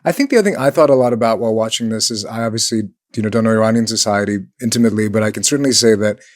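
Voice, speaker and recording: A man from Pakistan talking quickly and thoughtfully about a film in a casual panel discussion. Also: lowish voice, no echo, clean studio sound.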